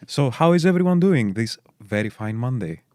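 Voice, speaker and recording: A man's voice: medium pitch, animated, normal speed, darkish tone, slight background noise. A man from Greece with a Greek accent, co-hosting a game stream and chatting casually with lots of fillers as he explains game details. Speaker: stream co-host.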